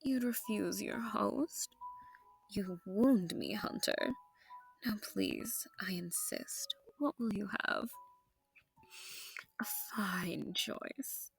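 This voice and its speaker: A woman's voice: medium pitch, extremely slow, creaky, pauses often, faint music behind. A woman playing a seductive, sadistic vampire noble in an intimate audio roleplay, genteel and teasing with menace, often sinking into whispers. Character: seductive, sadistic, possessive female vampire noble hosting her foe.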